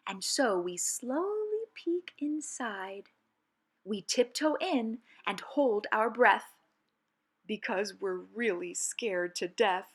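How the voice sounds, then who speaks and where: A woman reading children's poetry aloud in an expressive, theatrical and suspenseful way, with deliberate phrasing. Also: mid-range voice, husky. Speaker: children's read-aloud presenter.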